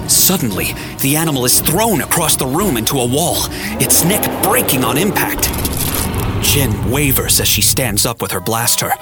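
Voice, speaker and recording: A man giving a scripted, storytelling narration for a science fiction audio drama. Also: mid-range voice. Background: music.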